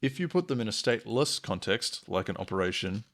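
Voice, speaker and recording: Low-voiced man with a gravelly edge. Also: very animated, brisk. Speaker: software tutorial presenter. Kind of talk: explanatory screencast tutorial narration.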